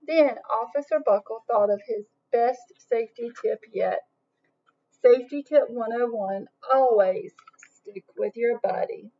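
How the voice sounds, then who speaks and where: A woman reads a picture book aloud to children in a fairly high voice. She is expressive and breaks off for little questions and asides. The recording is slightly dull and lo-fi. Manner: expressive, pauses to question young listeners. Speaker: read-aloud storyteller.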